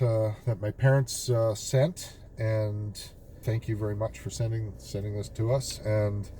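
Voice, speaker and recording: A Canadian man vlogging outdoors in a halting, casual way, full of fillers and restarts. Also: lowish voice, far from the mic. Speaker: vlog host. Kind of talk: casual vlog talk, outdoors. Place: outdoors near a road with cars. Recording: outdoor street recording with loud traffic noise. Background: loud traffic and street noise.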